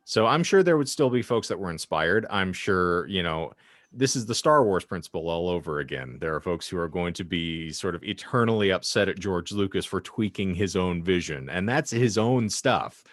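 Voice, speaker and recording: Male co-host, low voice, close dry sound, articulate and explanatory in casual discussion. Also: lively intonation, normal speed, no echo. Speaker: podcast co-host.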